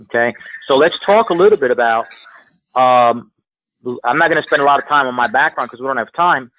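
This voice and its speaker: A man's voice: medium pitch, brisk, a little hiss or hum. Emphatic male sales coach on tinny phone line. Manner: emphatic, repeats phrases for effect. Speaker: sales trainer, guest speaker. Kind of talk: motivational sales coaching talk on a conference call. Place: conference call. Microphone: telephone. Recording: tinny, band-limited phone conference line.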